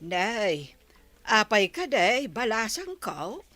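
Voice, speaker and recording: A woman's voice: mid-range voice, sing-song, slow, a bit bright, faint music behind. A woman playing a sympathetic, fretful older village mother in a radio drama, with sighing interjections and an Ilocano Filipino accent. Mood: concerned. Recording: noisy, birdsong behind.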